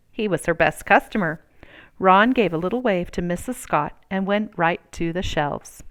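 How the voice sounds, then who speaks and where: A woman reading a children's storybook aloud in an expressive, deliberate storytelling manner. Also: mid-range voice, smooth. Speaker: storybook reader.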